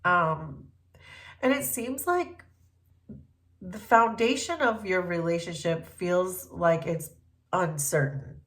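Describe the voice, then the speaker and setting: A woman with a stuffy, congested voice from a cold, giving a gentle, thoughtful tarot reading with frequent pauses and ums. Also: fairly low pitch, lively intonation. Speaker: tarot reader.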